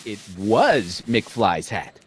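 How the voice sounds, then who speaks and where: A man's voice: on the low side, very animated, extremely slow, a little hiss or hum, full character voice. An actor playing a brash, bullying American gangster boss in a video game, with sarcastic, domineering tough-guy talk and old-time slang. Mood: brusque, evasive.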